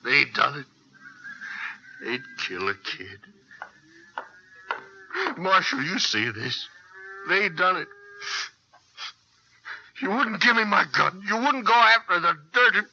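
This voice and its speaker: A bitter, taunting killer in a vintage radio western. His rustic American twang is high and very forceful, with swooping pitch. The recording is dull and dated, and he sounds like a defiant prisoner who rarely backs off. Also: lively intonation, slow, long pauses, slight background noise, exaggerated voice. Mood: anguished, accusing. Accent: American, rural Western twang. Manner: taunting, emotional, rustic speech. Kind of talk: 1950s radio western acting.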